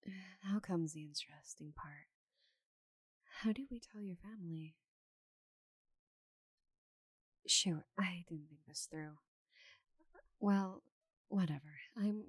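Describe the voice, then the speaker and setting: A woman playing a giant female orc knight in an intimate ASMR roleplay. She is lowish and breathy, taking her time with every phrase, confident and teasing with a tender, easily flustered side. The sound is close and dry. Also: no room sound, low-quality recording. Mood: tender, sheepish. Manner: slow, soft, intimate delivery with breathy murmurs.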